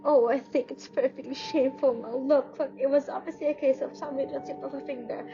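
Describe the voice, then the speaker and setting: Woman playing fretful invalid wife, high pitch, melodramatic, insistent, radio drama, dull recording. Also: fairly even intonation, fast, warm tone. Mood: indignant, insistent. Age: young adult. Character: nervous, demanding invalid wife, frantic and indignant. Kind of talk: radio play acting. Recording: muffled student recording with music underneath.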